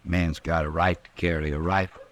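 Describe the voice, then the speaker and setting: An actor playing a stubborn, lovestruck, brooding cowhand with a rustic Western American accent and a halting, obstinate way of talking. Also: male voice, low voice, little pitch movement, natural voice. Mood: brooding threat. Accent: rural American Western. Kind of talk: 1950s radio drama acting.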